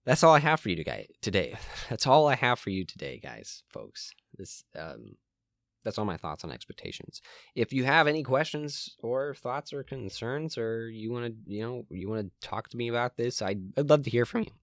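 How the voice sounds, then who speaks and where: A young man hosting a solo podcast from his room, rambling with frequent ums and restarts, his voice a little hoarse and cracking. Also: mid-range voice, sing-song, moderate pace, no echo, clean studio sound. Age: young adult. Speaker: podcast host.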